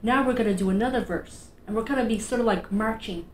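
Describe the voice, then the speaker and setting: A woman's voice: fairly high pitch, fast talker, echoey room, slight background noise, low-quality recording. Cheerful Newfoundland woman leading kids' storytime. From Canada. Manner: cheerful, sing-song, encouraging. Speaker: children's librarian. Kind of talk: children's storytime. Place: small indoor room, seated in an armchair. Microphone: fixed camera at a few feet.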